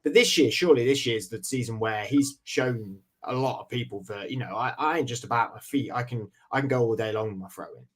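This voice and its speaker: A man hosting a casual sports podcast in a chatty, informal way, slightly hoarse and sniffly with a cold. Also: medium pitch, a little fast, hardly any pauses. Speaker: podcast host.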